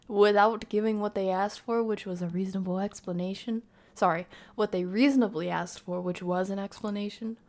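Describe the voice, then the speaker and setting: A woman's voice: medium pitch, fairly even intonation, moderate pace, a little mellow. A woman live streaming her reactions to a video in casual chat, with sarcastic, teasing asides.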